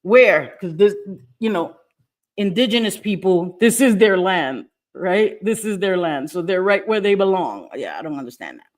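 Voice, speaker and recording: A woman's voice: on the low side, expressive, normal speed. A middle-aged woman from New York, hosting a casual livestream, speaking emphatically and defiantly and repeating her phrases. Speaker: livestream host. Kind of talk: casual livestream chat, reading viewer comments. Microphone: webcam or phone mic, live stream.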